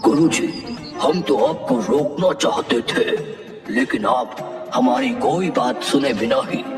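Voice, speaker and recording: A man voicing a beleaguered ghost disciple in a cartoon, protesting in a plaintive, drawn-out way. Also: mid-range voice, expressive, roomy sound. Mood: concerned. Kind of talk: Hindi cartoon dialogue. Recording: distant voice with music underneath.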